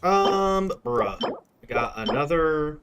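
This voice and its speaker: Lively, slangy young game streamer. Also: mid-range voice, slow pace. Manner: slangy, profane, rambling to self. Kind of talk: casual gameplay commentary. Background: game music.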